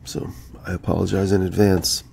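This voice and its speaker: Low voiced guy w gravelly fry, stuffy nose from a cold, just chatting and rambling on a podcast. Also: level tone, normal speed, warm tone, a little hiss or hum. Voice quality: nasal from a cold. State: has a head cold. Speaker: podcast co-host. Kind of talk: casual chat, rambling anecdotes.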